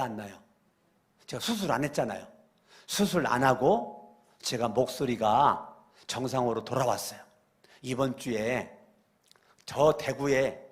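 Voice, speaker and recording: Emphatic male pastor preaching. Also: medium pitch. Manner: emphatic preaching with repeated phrases and rhetorical questions; swings between loud exclamations and quiet asides. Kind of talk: Korean church sermon. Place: church sanctuary during a weekday service.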